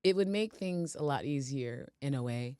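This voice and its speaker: A woman from Portland, Oregon, speaks in a low, commanding voice. She tells her story expressively, acting out others' words and laughing easily. The sound is close and dry. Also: expressive, moderate pace, mellow, clear, resonant tone, no echo. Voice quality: deep, commanding voice. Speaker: singer, interview guest. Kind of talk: casual interview chat.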